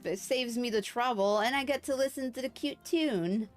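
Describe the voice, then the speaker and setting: A woman's voice: on the high side, moderate pace. Casual woman streamer over game music. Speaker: live streamer.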